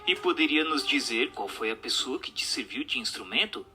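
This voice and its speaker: An actor in a radio drama playing a formal, inquisitive séance questioner and narrator who speaks in a measured, scripted way. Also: male voice, medium pitch, animated, pushed and bright. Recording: music under the voice.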